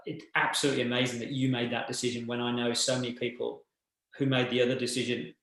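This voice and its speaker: A man's voice: mid-range voice, level tone, fast talker, a bit bright. A man hosting an interview, encouraging and praising his guest. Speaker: host. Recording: very echoey room.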